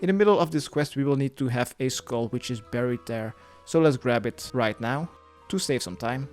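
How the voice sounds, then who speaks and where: A man's voice: mid-range voice, moderate pace. A man narrating a step-by-step video game guide, giving plainly worded instructions as a tutorial voice-over. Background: music.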